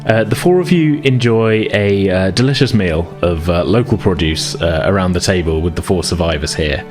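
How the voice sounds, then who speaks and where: A man's voice: deep voice, normal speed, over music. A man acting as game master, narrating a tabletop roleplaying game in a conversational, descriptive way.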